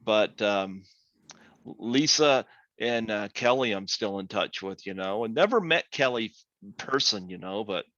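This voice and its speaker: A man's voice: fairly low pitch, animated, a little slow, fairly bright tone, no echo. A man from Canada hosting a podcast, chatting casually with a guest and hesitating with many fillers. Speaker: podcast host. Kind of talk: casual interview chat. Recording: dry, dull lo-fi sound.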